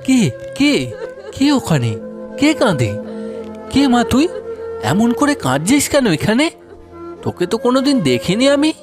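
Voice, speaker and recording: A man voicing a kindly village vegetable seller and father in a cartoon, animated and concerned. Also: very high voice, normal speed, pauses often, music in the background, exaggerated voice. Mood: startled, concerned. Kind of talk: Bengali moral-story cartoon acting.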